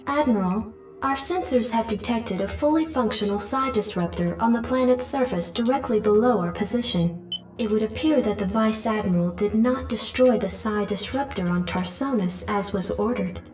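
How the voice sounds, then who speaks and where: An actress playing a cool military computer adjutant in a sci-fi video game, clipped, impersonal and formal. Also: female voice, a little high, moderate pace, darkish tone, close to an everyday voice. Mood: formal reporting. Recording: echoey and distant, music behind.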